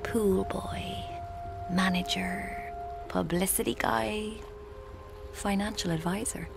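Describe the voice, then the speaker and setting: An actress with an Irish accent playing a scheming, guilt-haunted young woman writer in a radio drama, with a breathy, creaky voice. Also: medium pitch, steady tone, takes it slowly, music in the background. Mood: confessional, smug. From Ireland. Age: young adult. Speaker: lead actor and narrator in a radio drama. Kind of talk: intimate first-person radio drama narration.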